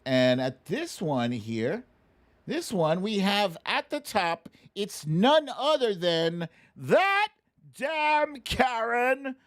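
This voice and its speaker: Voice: mid-range voice, big pitch swings, drawn-out words, a bit bright. An American livestream host chatting casually and reading out viewer names in a playful, self-deprecating way during a song guessing game.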